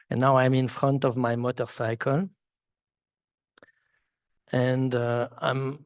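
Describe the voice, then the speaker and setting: A man whose first language is French, speaking with a Swiss French accent as he gives a live software demo and often restarts and repeats words. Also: mid-range voice, no room sound, highs cut off. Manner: halting, repeats words while clicking through. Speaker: solution architect giving a software demo. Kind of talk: live product demo walkthrough.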